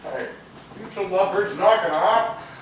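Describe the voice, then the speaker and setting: An actor playing a brash, joking young husband and buddy in a stage play, slangy and loose. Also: male voice, sits high, expressive, a little slow. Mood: jovial. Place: theatre stage, videotaped live from a distance. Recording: echoey noisy theatre hall, distant camera mic.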